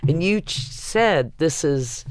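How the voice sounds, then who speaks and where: A woman's voice: low voice, animated, slow, no echo. A woman, a theater director, talking casually as a guest in a panel discussion.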